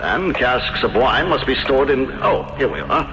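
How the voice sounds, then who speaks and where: An actor playing a vengeful, gloating gentleman murderer in a theatrical 1970s radio drama style. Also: medium pitch, lively intonation, moderate pace, close to an everyday voice. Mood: smooth, sly. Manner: theatrical, savoring, menacing delivery. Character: vengeful, gloating wine-owning gentleman, sinisterly courteous murderer. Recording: dull, noisy old radio broadcast.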